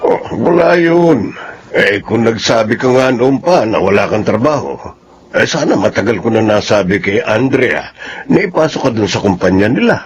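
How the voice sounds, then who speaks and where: A man's voice: lowish voice, big pitch swings, normal speed. A man acting a kindly middle-aged uncle figure in a radio drama, avuncular and encouraging, with a Filipino accent. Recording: dull lo-fi sound, faint noise.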